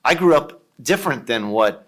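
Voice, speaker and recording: A man's voice: mid-range voice, sing-song, moderate pace. A man in his fifties with an Ohio accent, chatting as a podcast guest in a jokey, rambling way. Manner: jokey, rambling, leans on "kind of". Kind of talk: casual interview chat.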